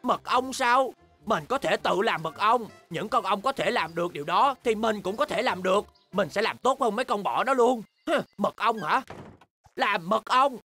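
A woman voicing an excitable, boastful young bear cub in a children's cartoon, with exaggerated, childlike delivery. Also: mid-range voice, normal speed, faint music behind. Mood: boastful, scheming. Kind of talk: animated children's cartoon dubbing.

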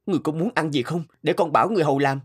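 A man's voice: fairly high pitch, rapid-fire, slightly rough. A man voicing an earnest, devoted young prince in a cartoon fairy tale. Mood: worried, dutiful. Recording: loud background music.

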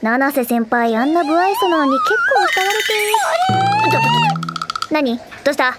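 A woman's voice: sits high, sing-song, unhurried, heavy background noise. A woman playing a cheeky, brash teenage café girl who talks in slangy bursts in an anime voice drama. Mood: cheeky, amazed. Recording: studio voice over loud music.

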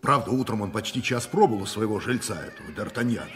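A man's voice: fairly low pitch, lively intonation, fast talker, a bit bright. A man playing a cardinal's dutiful, deferential henchman reporting in a radio drama, with dry disdain. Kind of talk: radio play acting. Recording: long hall reverb, faint noise.

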